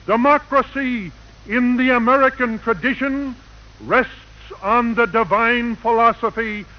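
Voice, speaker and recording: A man's voice: very high-pitched, unhurried. A man giving a formal religious radio address as a clergyman, solemn and oratorical. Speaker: clergyman speaker. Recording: muffled 1940s radio broadcast recording.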